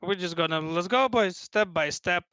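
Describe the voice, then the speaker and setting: A man in his twenties with a Russian accent, chatting as an interview guest, speaking haltingly with many restarts. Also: high voice, pushed and bright, smooth, no echo. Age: 20s. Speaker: guest, pro gamer. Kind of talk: casual interview chat.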